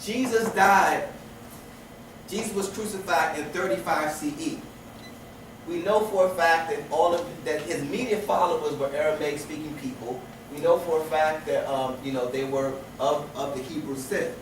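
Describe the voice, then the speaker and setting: Man, teacher lecturing a class, rather high voice, loud echoey room, noise in the background. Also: normal speed, recorded from a distance. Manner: repeats and restarts phrases, asks rhetorical questions.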